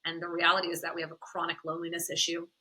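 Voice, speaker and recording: A woman guest on a podcast, chatting candidly with a smoky voice, animated and quick to laugh. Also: lowish voice. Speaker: podcast guest, content creator and confidence coach. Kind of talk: candid conversational interview.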